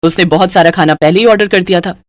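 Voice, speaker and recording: A woman's voice: fairly low pitch, quick pace. A woman reading scripted moral-story narration as the storyteller.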